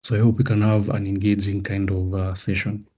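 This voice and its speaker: A sales engineer presents a product overview on an online call. His low voice stays flat and even throughout, with only occasional fillers. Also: fairly quick, muffled recording. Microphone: online meeting audio.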